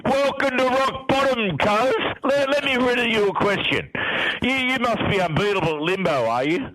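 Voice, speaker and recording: A man's voice: very high voice, expressive, normal speed, fairly bright tone, low-quality recording. A man playing a brash, crude, mocking radio boss with an Australian accent, roasting his colleagues on air. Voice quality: boisterous, roasting, swaggering delivery. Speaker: comic character on a radio show. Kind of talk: comedy radio sketch.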